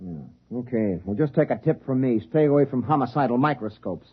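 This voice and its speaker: Agitated sci-fi major: wry, insistent, animated swings in pitch, dull old-radio sound. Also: male voice, a little fast, breathy edge. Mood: sardonic. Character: rattled, insistent military major, a sardonic scientist. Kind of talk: 1950s radio drama acting. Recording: dull, lo-fi vintage radio broadcast.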